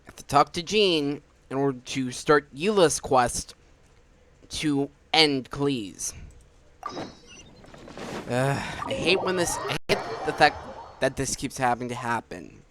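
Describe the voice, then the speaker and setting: Slow, halting man commenting on gameplay. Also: mid-range voice, animated, a bit bright. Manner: halting, repeats words. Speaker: gamer playing through a story quest. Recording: game music behind the voice.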